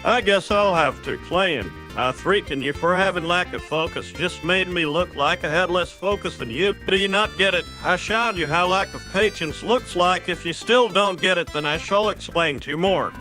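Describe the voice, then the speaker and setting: A man's voice: high-pitched, moderate pace, few pauses, sharp tone, faint music behind. A man voicing a patient, preachy frog mentor who lectures and explains in an amateur cartoon comic dub. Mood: stern, lecturing.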